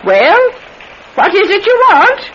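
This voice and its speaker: A woman's voice: extremely high pitch, wildly swooping pitch, slow, exaggerated voice. A woman playing a sprightly, independent old widow, chatty and folksy in an old-time radio drama. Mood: startled. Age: older. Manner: folksy, chatty, muttering to herself. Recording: noisy, muffled old radio recording.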